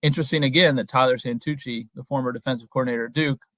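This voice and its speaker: Measured young man in a dry room. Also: medium pitch, steady tone, fairly bright tone, no room sound. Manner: measured, analytical. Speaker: guest reporter. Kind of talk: casual analysis interview. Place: indoor room at home. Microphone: webcam on a video call.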